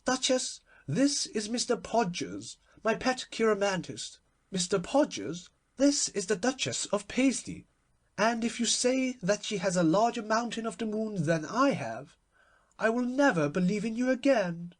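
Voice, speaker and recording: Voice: mid-range voice, sing-song, unhurried, pitched above the performer's own voice. A performer voicing a gushing, witty, imperious society hostess in a theatrical, emphatic manner for a storybook reading. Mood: imperious, playful.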